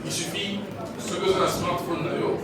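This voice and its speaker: Flat-voiced man explaining an app. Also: sits high, moderate pace. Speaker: app representative. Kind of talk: explaining steps. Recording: echoey hall, distant mic, loud crowd.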